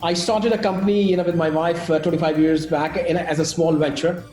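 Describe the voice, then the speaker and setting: A man's voice: fairly high pitch, fairly even intonation, a little fast, darkish tone, roomy sound, faint music behind. A man who founded a travel company talks eagerly on a video call and fills his speech with "you know". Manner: enthusiastic, many fillers.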